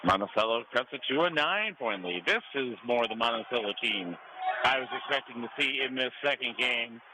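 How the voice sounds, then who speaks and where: A man's voice: medium pitch, expressive, a little fast. An American man giving live play-by-play radio commentary on a volleyball match. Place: indoor school gym during a match. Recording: noisy gym ambience behind commentary.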